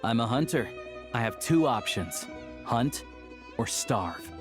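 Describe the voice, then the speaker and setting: A man's voice: mid-range voice, animated, slow pace, music in the background. An actor playing a shrewd male hunter in a video game, speaking in a blunt, methodical, confident way. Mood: dry, confident.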